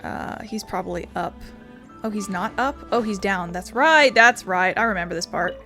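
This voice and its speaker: A woman's voice: mid-range voice, moderate pace, bright, forceful tone. A woman hosting a casual game playthrough, playful, chatty and rambling. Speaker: gaming video host. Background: game music.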